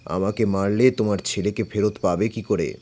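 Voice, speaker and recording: A man playing a calm, shrewd, fearless older detective in an audio story, composed and reassuring. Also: lowish voice, moderate pace, natural voice. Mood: calm, firm. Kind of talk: Bengali audio story acting.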